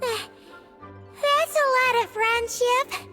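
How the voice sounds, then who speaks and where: A woman's voice: very high voice, fairly even intonation, extremely slow, exaggerated voice. A woman voicing a small girlish companion creature in a video game cutscene, blunt and suspicious, talking about herself in third person. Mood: wary. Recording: long reverb over loud game music. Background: game soundtrack music.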